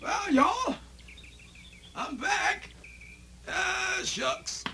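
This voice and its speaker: Real high creaky old storyteller man, folksy, stammers and mutters, slow radio play voice, some noise behind. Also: lively intonation, full character voice. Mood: curious, muttering. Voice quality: creaky, gravelly. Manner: stammering, muttering, drawn-out rustic talk. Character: folksy, cheerful old male master storyteller from the country. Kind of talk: radio theatre character acting.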